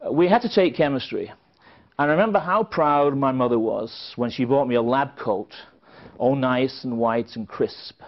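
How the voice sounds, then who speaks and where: A man's voice: medium pitch, normal speed. A middle-aged man from England, giving a keynote speech at a conference and telling stories with dry, wry asides. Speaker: conference speaker. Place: conference hall with a seated audience. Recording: dull, lo-fi sound.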